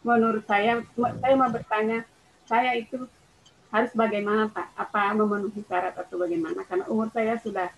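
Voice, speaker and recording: Woman, forties, teacher from South Sulawesi, medium pitch, formal questioner, slight noise on the line. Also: fairly even intonation, moderate pace, vocal fry. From South Sulawesi, Indonesia. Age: 40s. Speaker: kindergarten teacher asking a question. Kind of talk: formal question in an online meeting. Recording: faintly noisy online call.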